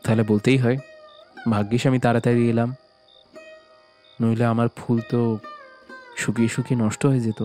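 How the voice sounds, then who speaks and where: An affectionate, teasing husband acted by a man in an audio drama. His mid-pitched voice chides tenderly and playfully, with soft music underneath. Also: level tone, normal speed, pauses often, close to an everyday voice. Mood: tender scolding. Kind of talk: Bengali audio drama acting.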